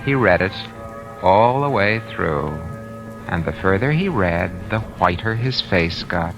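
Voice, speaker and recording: Low-voiced, hard-boiled radio schemer. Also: male voice, lively intonation, slow pace, creaky, exaggerated voice, low-quality recording. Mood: brooding. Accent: American. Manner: terse, hard-boiled, domineering. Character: scheming, coldly controlled husband narrating his crime. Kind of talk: 1940s radio suspense acting, noir narration. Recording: vintage radio drama under loud music and rain.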